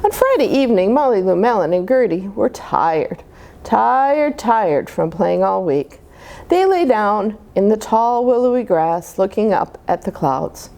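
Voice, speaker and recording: A woman reading a picture book aloud as a storyteller, with an expressive, deliberate delivery meant for young children. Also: medium pitch, creaky.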